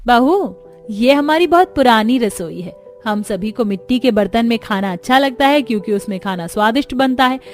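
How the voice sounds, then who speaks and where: A woman's voice: on the high side, lively intonation, normal speed, darkish tone, faint music behind, lightly acted. A woman voicing a middle-aged, bossy but affable mother-in-law in a cartoon.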